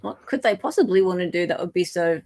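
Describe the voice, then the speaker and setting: An Australian woman hosting a casual live show, excitable and muttering to herself as she searches through documents. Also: fairly low pitch, fast talker. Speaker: podcast host. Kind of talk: casual live chat while reading documents.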